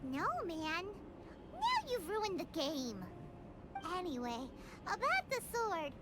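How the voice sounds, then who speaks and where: A woman voicing a tiny, childlike fairy companion in a video game, squeaky, bubbly, cheeky and dramatic. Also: huge pitch swings, slow pace, very noisy, exaggerated voice. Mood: excited, worried. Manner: bubbly, dramatic, speaks of herself in third person. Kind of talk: scripted video game dialogue. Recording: loud background music under the voice.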